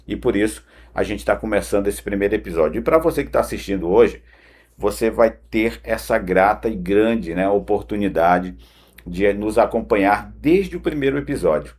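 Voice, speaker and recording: Male devotional host, deep register with some rasp, earnest conversational delivery straight to the listener. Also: lively intonation, moderate pace, a little mellow. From Pará, northern Brazil. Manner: earnest, direct address with frequent "né" tags. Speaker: host of a morning devotional, former broadcaster. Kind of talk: conversational morning devotional talk. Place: home studio.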